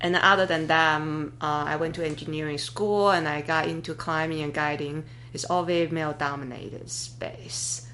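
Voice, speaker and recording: A woman's voice: sits low, fairly even intonation, moderate pace, hardly any pauses, fairly bright tone, a little hiss or hum. A woman in her thirties hosting a podcast interview, speaking thoughtfully and hesitantly, with fillers and restarts as she searches for words. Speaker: podcast host. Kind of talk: conversational interview.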